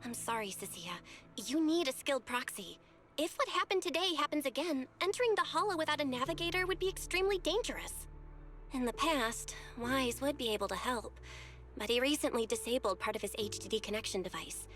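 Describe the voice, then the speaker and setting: A woman's voice: high-pitched, expressive, fairly quick, a bit bright, faint music behind. An earnest young proxy girl. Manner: earnest, anxious. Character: earnest young woman proxy, determined and worried.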